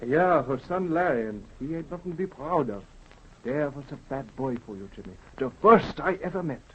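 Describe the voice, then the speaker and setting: An actor playing a kindly, nosy small-town grocer in a 1930s radio drama, talkative, folksy and hesitant. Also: male voice, on the high side, animated, normal speed, a little hiss or hum, natural voice. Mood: gossipy, sympathetic. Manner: hesitant, folksy, repeats himself. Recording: dull, muffled 1930s radio recording.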